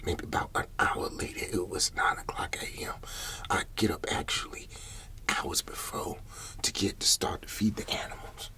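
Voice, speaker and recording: A man playing a paranoid, troubled older Southern farmer in an ASMR roleplay, whispering haltingly and repeating his words as he rambles. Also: low-pitched, steady tone, pushed and bright, full character voice. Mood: uneasy, bewildered. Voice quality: breathy whisper.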